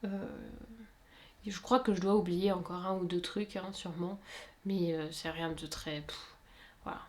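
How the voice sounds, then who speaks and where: A woman's voice: lowish voice, fairly even intonation, normal speed, a little mellow, slight background noise. Twenties woman, rambly casual chat to her viewers, lots of euhs and restarts. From Luxembourg. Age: 20s. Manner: chatty and rambling, many fillers and self-corrections. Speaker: YouTuber answering viewer questions.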